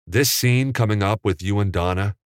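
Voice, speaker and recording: A man voicing a bold young man who talks in a lively, teasing way. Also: lowish voice, normal speed, dry recording, clean studio sound, close to an everyday voice. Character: young man, time traveler on set, bold.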